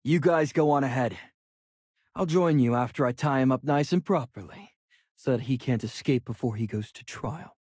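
An actor playing a stern, vengeful young male adventurer in a video game, husky and firm with restrained anger. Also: medium pitch, moderate pace, darkish tone, dry recording, studio quality, close to an everyday voice. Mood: resolute. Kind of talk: video game character acting.

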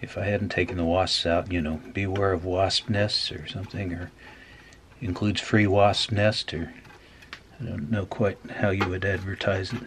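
Low-voiced man narrating over background noise. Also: level tone, moderate pace. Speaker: host of an electronics repair video. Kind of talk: casual hands-on demonstration commentary. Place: indoor workbench.

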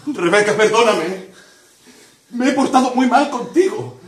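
A man's voice: very high voice, expressive, a little slow. A man playing a glamorous, aloof yet contrite mother in a theatrical stage drama. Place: small dim theatre set indoors. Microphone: far camera. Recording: echoey, distant camera microphone, background noise.

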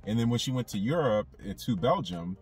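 A man's voice: medium pitch, expressive, fairly quick, a little mellow, husky, distant mic. A young man talking casually to camera from inside a car, rambling with lots of fillers. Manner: loose, rambling, with many fillers. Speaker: YouTube commentator. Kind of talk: casual commentary vlog.

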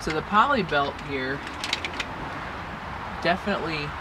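Brief: male DIY vlogger, slightly high voice, muttering through a hands-on job outdoors, heavy background noise. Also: animated, a little slow. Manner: chatty, mutters step by step. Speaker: DIY sawmill owner. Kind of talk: casual how-to narration while working. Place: outdoors at a sawmill.

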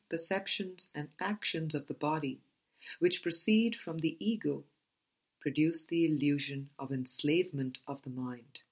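Slow, low-voiced woman reading aloud. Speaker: audiobook reader. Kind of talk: scripted spiritual book reading.

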